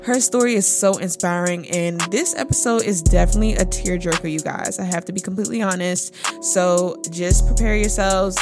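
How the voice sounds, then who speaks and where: A woman's voice: fairly low pitch, moderate pace, over loud music. Bubbly young woman hosting a podcast. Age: 20s. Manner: bubbly, slangy, hypes up her guest. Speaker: podcast host. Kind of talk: upbeat casual podcast chat.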